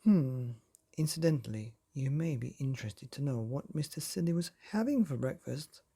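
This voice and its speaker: A man narrating a children's bedtime storybook in a playful, expressive way, often putting questions to the listener. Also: mid-range voice, moderate pace, vocal fry, husky.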